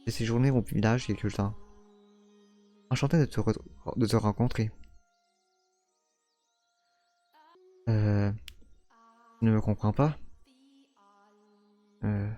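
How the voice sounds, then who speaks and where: A man's voice: fairly low pitch, fairly even intonation, measured pace, pauses often, warm tone, faint music behind, lightly acted. A man voicing an earnest young foreign woman in a visual novel who stammers when flustered. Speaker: streamer reading a visual novel. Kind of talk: let's play voice reading.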